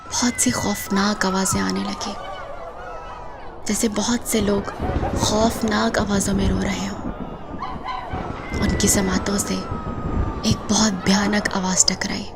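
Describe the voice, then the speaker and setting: A woman's voice: medium pitch, flat delivery, slow pace, pauses often, heavy background noise. A woman narrating a scripted horror story in a suspenseful, dramatic manner.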